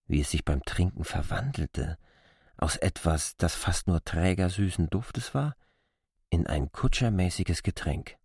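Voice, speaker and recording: Really deep husky guy reading a novel slowly, gravelly, savours every phrase. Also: expressive, a little mellow, no room sound, clean studio sound. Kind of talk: slow literary audiobook narration.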